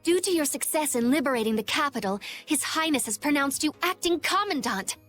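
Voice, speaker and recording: A woman's voice: high voice, animated, a little fast, a bit bright, soft background music. A woman playing a stern, serious young knight lieutenant with a formal, clipped manner in a video game.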